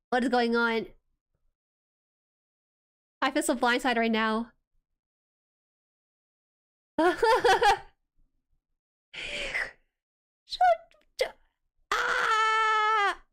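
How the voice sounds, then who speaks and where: A woman with a soft voice chatting casually and reacting excitably as she hosts an anime watchalong stream. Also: very high-pitched, drawn-out words, pauses often.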